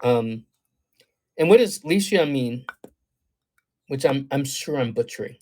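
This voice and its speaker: A man's voice: mid-range voice, expressive, unhurried, a little mellow. Guy doing astrology readings on a live stream, rambly and thoughtful, lots of ums, reacting to comments. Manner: meandering, thoughtful, many ums and you-knows; reads viewer comments aloud and reacts. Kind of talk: livestream talk answering chat.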